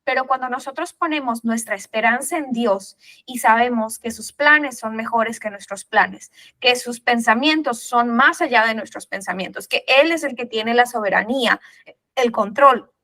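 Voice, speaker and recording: A woman hosting a faith podcast, expressive and building to emphatic points as she reflects on the guest's story. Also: fairly high pitch, moderate pace. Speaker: podcast host. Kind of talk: podcast interview, reflective preaching.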